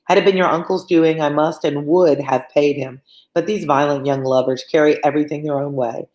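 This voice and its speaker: A woman voicing a wry, dry-humoured older father from a Regency novel in a measured, understated way. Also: low voice, steady tone, darkish tone, reverberant, natural voice. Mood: wry, amused.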